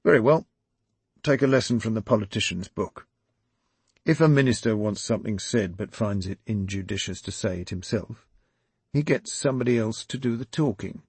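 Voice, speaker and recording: Man voicing a measured, persuasive businessman, husky, dry sound. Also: fairly low pitch, darkish tone, dry recording, heavily acted.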